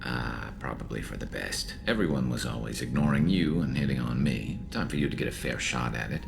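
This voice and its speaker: A man's voice: fairly quick, creaky, full character voice. An actor playing a wounded male alien soldier, joking dryly. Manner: dry, joking. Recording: noisy rumble behind.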